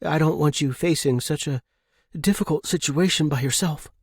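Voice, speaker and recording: Man voicing a careful, higher-pitched young woman. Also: normal speed, breathy edge, no echo, studio quality. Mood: soothing. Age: young adult. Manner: careful, polite, placating. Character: young woman, tactful, hesitant, soothing spirit medium.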